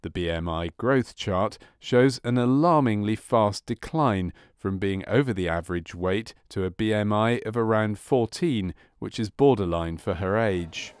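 Male narrator for a medical training film: lowish pitch, measured and clinical delivery, very little room sound. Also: smooth, no room sound, clean studio sound. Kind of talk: scripted documentary narration of a medical case study.

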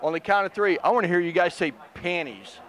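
Excitable male festival host. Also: high voice, a little fast. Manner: enthusiastic, swears casually. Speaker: interview host. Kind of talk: excited backstage interview. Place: outdoors at a festival backstage. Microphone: handheld mic.

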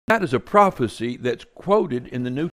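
A man's voice: medium pitch, expressive, normal speed, dry recording, studio quality. An older man giving earnest, informal Bible teaching, gesturing as he talks in a kitchen under renovation.

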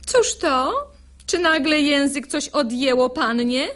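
An actress playing a saucy, sharp-tongued young maidservant in a verse comedy for radio, sarcastic and theatrical. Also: female voice, high voice, slow. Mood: urging, scolding. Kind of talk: classical verse comedy, radio play acting.

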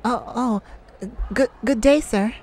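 A woman's voice: a little high, slow pace, a little mellow. A woman voicing a shy, sweet, easily flustered young male singer in an ASMR roleplay, with a stammering, earnest manner. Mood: nervous, flustered.

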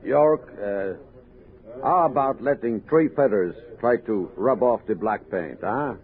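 An actor playing a mild, deferential frontier scout and interpreter, tentative and polite, in a 1960s radio drama. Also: male voice, mid-range voice, lively intonation, unhurried, phone-quality sound, close to an everyday voice. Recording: band-limited 1960s radio recording.

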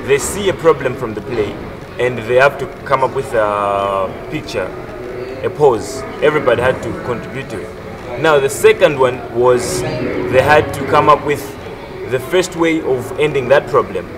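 A man's voice: mid-range voice, normal speed, noisy background. A man in his twenties, a theatre performer, recounting his experience in a documentary interview. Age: 20s. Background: music.

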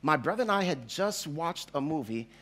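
A Jamaican-born pastor in his forties, speaking with a faint Jamaican accent and a high, ringing voice. He tells his story from a church stage into a headset mic, animated and playful as he imitates the voices in it. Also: normal speed. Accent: slight Jamaican. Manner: animated, acts out quoted voices, laughs as he tells. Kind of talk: storytelling sermon. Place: large church hall stage with audience.

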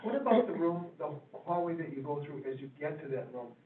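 A man's voice: fairly high pitch, animated, fast talker, phone-quality sound. A board member, a man, asking polite and detailed follow-up questions at a meeting. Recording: echoey room, far mic, faint noise.

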